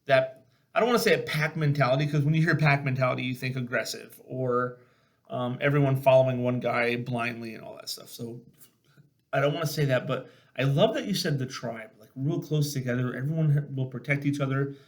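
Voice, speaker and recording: A man's voice: medium pitch, a little fast. Casual, earnest man telling stories. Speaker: podcast host and former army sergeant. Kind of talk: casual conversational storytelling.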